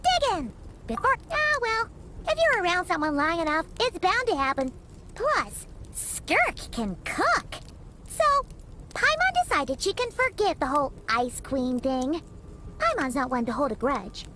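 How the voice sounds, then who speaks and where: A woman's voice: extremely high pitch, big pitch swings, a little slow, noisy background, full character voice. A woman voicing a tiny, chirpy female fairy-like companion in a video game, bouncy and sing-song as she talks about herself by name. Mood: cheerful, excited. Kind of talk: video game cutscene voice acting. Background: game music.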